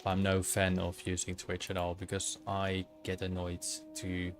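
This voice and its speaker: A man's voice: low voice, completely flat delivery, measured pace, faint music behind. A Dutch man streaming a game and chatting with viewers, thinking aloud with many fillers and restarts. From Netherlands. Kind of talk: casual livestream commentary while gaming.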